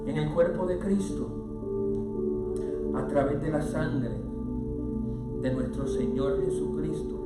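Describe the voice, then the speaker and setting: A pastor preaching a sermon, swelling from quiet passages into loud, sung-out lines, with music playing underneath. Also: male voice, fairly high pitch, slow pace, pauses often, reverberant, far from the mic.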